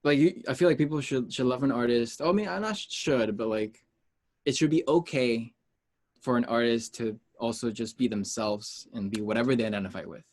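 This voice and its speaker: A man from the United States chatting casually as an interview guest, informal and rambling, pausing to gather his thoughts. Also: mid-range voice. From United States, Peruvian heritage. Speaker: interview guest, music artist.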